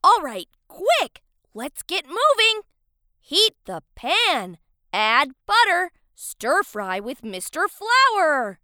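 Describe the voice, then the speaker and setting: A woman's voice: very high voice, huge pitch swings, takes it slowly, sharp tone, dry recording, studio quality, full character voice. A woman voicing a spirited, bossy talking vegetable with exaggerated, exclamatory delivery in a children's audio story. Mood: eager.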